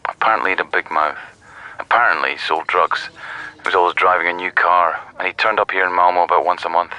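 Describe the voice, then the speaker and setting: A man's voice: sits low, steady tone, fast talker, pushed and bright, poor audio. A man playing a curt, dry, blunt police detective in a radio drama. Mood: matter-of-fact.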